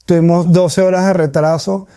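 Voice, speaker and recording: A man's voice: high-pitched, flat delivery, moderate pace, mellow, clean tone, no echo, clean studio sound. A man with a Caracas Venezuelan accent giving reflective, conversational answers as a comedian being interviewed.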